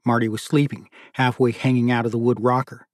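A man's voice: mid-range voice, level tone, a little fast, no room sound. Dry-sounding male audiobook narrator. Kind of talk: scripted literary narration.

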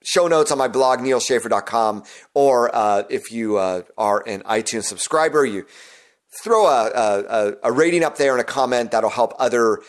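A man hosting his own podcast, talking listeners through his process in an earnest, instructive way, his voice gone hoarse. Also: mid-range voice, moderate pace. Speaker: podcast host. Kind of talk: solo podcast monologue.

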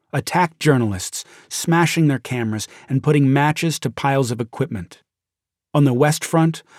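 A man with an American accent reads a nonfiction audiobook in a measured, grave and deliberate way, with dramatic weight. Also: mid-range voice, a little mellow, no room sound, studio quality. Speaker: audiobook narrator. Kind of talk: dramatic scripted audiobook narration of a nonfiction memoir. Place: dry recording studio. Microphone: close studio microphone.

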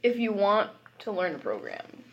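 A woman's voice: mid-range voice, huge pitch swings, normal speed, creaky, dull audio, a little hiss or hum. A young woman in casual podcast chat, giggly and self-deprecating in the way she talks. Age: young adult. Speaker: podcast co-host.

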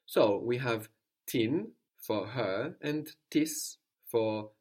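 A man's voice: mid-range voice, lively intonation, drawn-out words, a little mellow. A man teaching a language lesson, patiently prompting his student and praising each answer.

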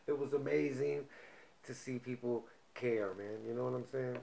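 A man hosting a podcast in loose, profane casual chat, getting loud and animated when excited. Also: mid-range voice, normal speed, creaky. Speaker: podcast host.

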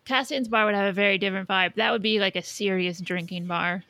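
Woman podcaster, mid-pitched, very dry sound. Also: steady tone, brisk, gravelly, sharp tone, no echo. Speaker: podcast co-host. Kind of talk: casual pop-culture chat.

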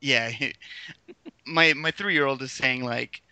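A man in his thirties, a guest in a casual podcast chat, animated and joking, swearing now and then. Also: mid-range voice, slow pace, clear, resonant tone, no echo.

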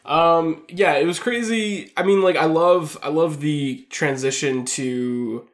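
A man's voice: on the high side, slow pace. A man co-hosting a podcast, chatting casually about film in an informal way, circling toward his point. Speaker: podcast co-host. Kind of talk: casual film discussion chat.

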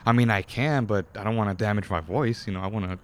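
A man with a deep voice hosting a casual podcast chat, excitable and loose, swearing now and then. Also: fast, a little hiss or hum. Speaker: podcast host.

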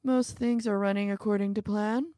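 A woman's voice: medium pitch, fairly even intonation, normal speed, warm tone, no room sound, clean studio sound, pitched above the performer's own voice, lightly acted. A woman voicing a nervous, deferential henchman in an audiobook, with a formal, placating manner.

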